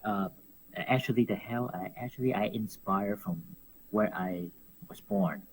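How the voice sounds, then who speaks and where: Man, interview guest, low-ish voice, animated and prone to laughing, stumbles and repeats words. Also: measured pace, a little hiss or hum, rough recording. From Hong Kong. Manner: animated, laughs often, repeats words while searching for phrasing. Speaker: professional wrestler guest. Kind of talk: casual interview chat.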